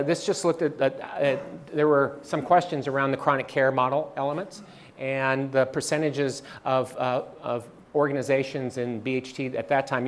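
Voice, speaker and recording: Halting male presenter at a training session, mid-range voice, lots of ums and restarts. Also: mid-range voice. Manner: halting, many fillers and restarts.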